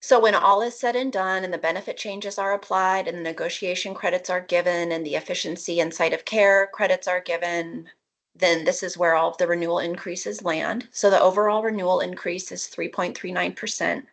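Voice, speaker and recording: A woman speaks in a low register with a noticeably gravelly fry. She sounds matter-of-fact and explanatory, like a presenter taking a board through figures in a formal meeting. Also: fairly even intonation, a little fast.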